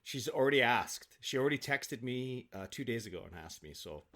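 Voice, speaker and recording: A man talking as an interview guest, an actor who rambles excitedly and repeats himself for emphasis in casual chat. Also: medium pitch, a little fast.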